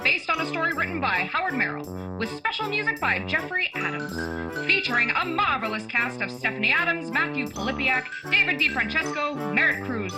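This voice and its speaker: A woman's voice: sits high, rough recording. A woman playing a polished 1940s radio drama hostess reading the announcements. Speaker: radio host.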